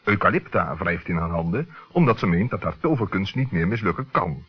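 A man narrating a children's radio play in a scripted storytelling style, with a husky voice. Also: low voice, expressive, fairly quick, exaggerated voice. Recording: dull lo-fi old radio recording.

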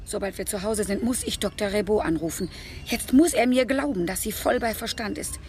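An actress playing a kindly, fussing carer in a radio drama, talking in an effusive, chatty way. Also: female voice, mid-range voice, fairly quick, a little mellow, a little hiss or hum, natural voice. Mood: amazed, grateful.

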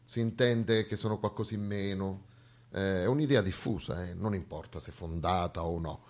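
A man's voice: sits low, moderate pace, darkish tone. Ironic man delivering a stage monologue. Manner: ironic, colloquial, rhetorical questions. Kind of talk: satirical theatre monologue to an audience. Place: theatre stage.

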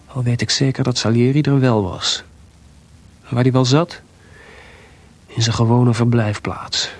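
An actor playing a proud, jealous composer with a sarcastic, theatrical delivery in a radio play. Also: male voice, mid-range voice, fairly even intonation, a little slow, natural voice. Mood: wry, reflective. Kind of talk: radio drama acting.

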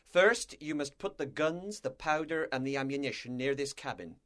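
A man's voice: mid-range voice, animated, moderate pace, a bit bright, pitched above the performer's own voice, lightly acted. A man voicing a stern, blunt, distrustful sea captain in a storybook, with a curt, commanding manner. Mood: firm. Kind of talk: storybook character dialogue.

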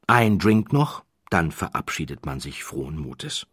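Deep husky guy narrating an audiobook, dramatic storyteller style, really emphatic phrasing. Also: big pitch swings, unhurried, fairly bright tone, dry recording, studio quality. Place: dry studio.

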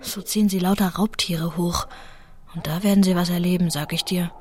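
A woman's voice: on the low side, steady tone, brisk, darkish tone, a little hiss or hum, natural voice. A woman playing a devoted, earnest young working-class wife in a German radio drama, speaking plainly and intimately. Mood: indignant.

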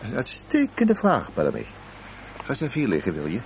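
Sardonic detective, radio play, very lively swooping pitch, muttering, faint background noise. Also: male voice, brisk, gravelly. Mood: dryly ironic. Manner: sardonic, hesitant mutterings and sudden exclamations. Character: wry, sarcastic male police detective, restless and informal. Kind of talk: Dutch radio drama acting. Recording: noisy old radio drama recording.

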